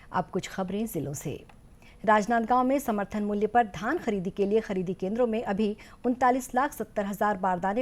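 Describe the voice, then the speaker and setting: A woman news presenter reading scripted bulletin items in a formal, measured way. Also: mid-range voice, darkish tone.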